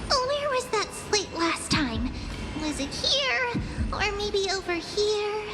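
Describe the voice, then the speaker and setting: A cheerful young girl in a fantasy game. Her voice is extremely high and light, with a slow, unhurried pace and a coy playfulness. Music plays clearly behind her. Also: animated, exaggerated voice. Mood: cheerful, playful. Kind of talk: voiced game story dialogue.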